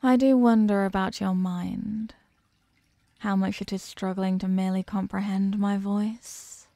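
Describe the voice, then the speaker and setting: Woman voicing a slow, dark-toned, haughty eldritch goddess. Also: medium pitch, little pitch movement, vocal fry, dry recording. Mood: menacing, tempted. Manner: imperious, condescending, deliberate. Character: ancient captive eldritch goddess, haughty, menacing, hungry destroyer. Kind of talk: slow horror audio roleplay monologue, ASMR.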